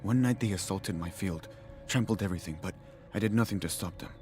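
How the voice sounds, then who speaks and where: A man's voice: low voice, a little fast, darkish tone, lightly acted. A man playing a self-assured farmer turned hunter, telling his story in video game dialogue. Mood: reflective. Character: contented male villager, farmer turned hunter. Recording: game music behind the voice. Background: music.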